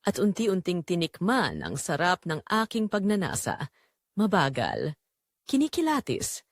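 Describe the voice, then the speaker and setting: A woman playing a married mother who narrates a secret confession in an audio drama, with deliberate, dramatic delivery in short phrases. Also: lowish voice, wildly swooping pitch, dry recording, clean studio sound, full character voice. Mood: intense, confessional. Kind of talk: scripted first-person audio drama narration.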